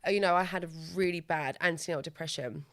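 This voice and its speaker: A woman from England in her thirties, a podcast guest talking casually in a chatty, animated way, full of "like" and quoted asides. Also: on the low side, fast talker. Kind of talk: casual chat.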